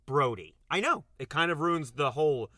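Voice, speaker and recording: A man acting a young imprisoned doctor in an audio drama, earnest and defiant. His voice is bright and forceful with lively swings in pitch, recorded very dry. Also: moderate pace, no echo. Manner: earnest, intense.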